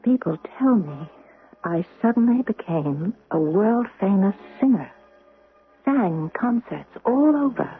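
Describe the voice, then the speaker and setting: An actress playing a gentle, humble young model in a radio drama, hesitant and wistful. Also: female voice, medium pitch, animated. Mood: weary, wistful. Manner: hesitant, apologetic, breathy pauses. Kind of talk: radio drama acting and first-person narration. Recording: noisy, narrow-band old radio recording.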